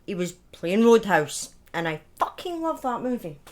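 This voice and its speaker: A woman hosts a casual livestream in a mid-pitched voice. She talks directly to her viewers in an informal, chatty way, poking fun at herself and swearing now and then. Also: big pitch swings, moderate pace, a little mellow. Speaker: live streamer and storytelling host.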